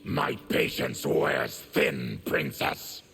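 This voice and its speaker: A monstrous game warrior with a heavily gravelled, low growl. He is proud and bitter, speaking in slow, formal, menacing phrases that swing dramatically in pitch. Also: big pitch swings, fairly bright tone, heavily acted. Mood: grim. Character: afflicted monstrous warrior, proud, bitter former army tribune. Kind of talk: video game fight-intro dialogue.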